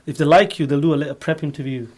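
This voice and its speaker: A man's voice: on the high side, fairly even intonation, very fast, darkish tone. A man speaking as a recruiter guest in a radio interview, explaining earnestly and repeating his points. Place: radio studio.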